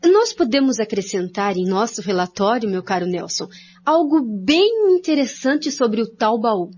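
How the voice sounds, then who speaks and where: An actress playing an earnest, scholarly researcher in a radio drama, with a formal expository delivery and a Brazilian accent. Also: female voice, fairly high pitch, very animated, measured pace, darkish tone, slightly rough, heavily acted. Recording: dull lo-fi audio.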